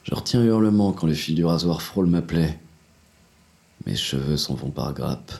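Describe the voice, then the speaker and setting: A man's voice: extremely low pitch, lively intonation, normal speed, gravelly, a little mellow, exaggerated voice. A man playing a cynical, wounded fugitive in noir audio fiction, narrating in the first person with crude, terse French slang. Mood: weary, grim. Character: hard-boiled, cynical, wounded male fugitive with a gun. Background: music.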